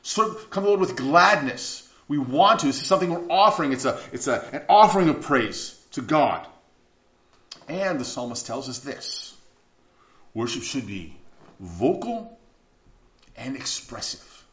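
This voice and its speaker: A male pastor delivers a loose, conversational sermon. His voice rises and falls in a lively way and he often stumbles and starts over. The recording is a bit dull and carries the roomy echo of a church. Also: medium pitch, measured pace. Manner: animated, chatty, with stumbles and restarts. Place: church sanctuary. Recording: echoey church room, slightly dull sound.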